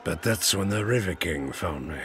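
Old ruined gambler and harpooner, British accent, low gravelly voice, rueful, rustic tavern storyteller, audio drama. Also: male voice, expressive, moderate pace, a bit bright, some chatter behind. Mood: wry storytelling. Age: older. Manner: folksy, rustic, rambling tavern yarn-spinning. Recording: studio drama with music behind. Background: music.

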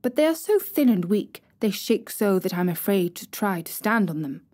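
A woman's voice: mid-range voice, sing-song, normal speed, a little mellow. A woman voicing a sickly young boy who talks in an eager, hesitant way in a storybook reading. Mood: wondering.

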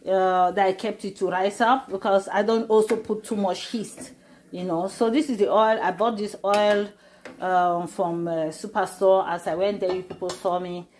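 A woman's voice: mid-range voice, fairly even intonation, moderate pace, darkish tone, a little hiss or hum. A middle-aged woman casually walking through a recipe in her home kitchen, explaining each step with many fillers. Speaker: cooking vlogger. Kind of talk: casual cooking demonstration.

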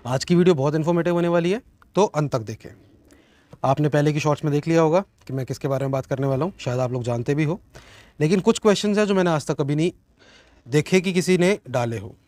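A man's voice: a little high, quick pace, a little mellow, no echo. A young man with an Indian accent giving an informal, explanatory question-and-answer product review, mixing in English phrases, recorded outdoors in a garden. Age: young adult. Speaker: motovlogger reviewing gear. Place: outdoor garden, seated at a table.

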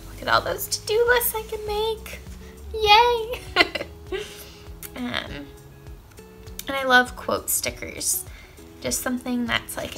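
A woman's voice: high voice, vocal fry, sharp tone. A young woman in her twenties showing off craft supplies in an upbeat, gushing way, sitting on the floor of a small indoor room. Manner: upbeat, gushing, lots of "um" and "I love". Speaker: craft vlogger. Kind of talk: casual haul show-and-tell.

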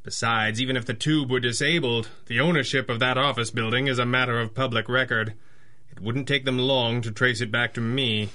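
A commanding masked crimefighter from a 1930s radio serial, played by a man. His mid-pitched voice is bright and forceful, and he speaks formally and deliberately with theatrical authority. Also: steady tone, natural voice. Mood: brisk, measured. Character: masked 1930s male vigilante hero, commanding and shrewd. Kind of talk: 1930s radio drama acting.

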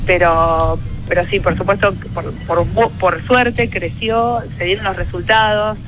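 A woman's voice: medium pitch, steady tone, unhurried, fairly bright tone, a little hiss or hum, poor audio. An Argentine woman, a sociologist and field hockey player, answering earnestly in a radio interview with frequent restarts. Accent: Argentine Spanish. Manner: earnest, thoughtful, many restarts and fillers.

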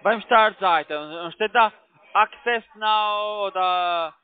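A man with a foreign accent, not a native speaker, giving halting step-by-step instructions as a call center agent. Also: high-pitched, clear, resonant tone, no echo. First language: not German. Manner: halting, broken grammar. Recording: tinny narrow phone line.

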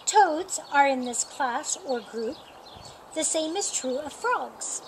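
A middle-aged woman with a high voice reads a rhyming picture book aloud for storytime. She takes her time, shaping each phrase deliberately and expressively. She is outdoors, with clear birdsong and ambient noise around her. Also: expressive, rough recording. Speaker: storytime reader. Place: outdoors in a sunny yard by a painted wall.

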